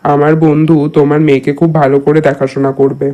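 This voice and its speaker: Man playing a high-pitched scheming village father. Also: flat delivery, a little fast, warm tone, close to an everyday voice. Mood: persuasive. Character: scheming village father, smooth-talking then grimly resolved. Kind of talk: cartoon character acting in a Bengali moral tale.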